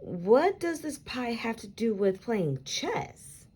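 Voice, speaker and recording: A woman voicing an earnest, particular young schoolgirl in a picture book, with emphatic, expressive delivery. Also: a little high, slow, husky, pitched above the performer's own voice, heavily acted. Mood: curious. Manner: emphatic, expressive storybook dialogue.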